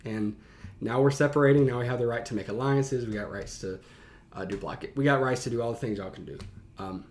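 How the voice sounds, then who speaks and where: A man's voice: medium pitch, brisk, a little mellow. A man giving casual, enthusiastic reaction commentary as a streamer, speaking in informal slang and often repeating himself. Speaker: teacher and streamer hosting a reaction live stream.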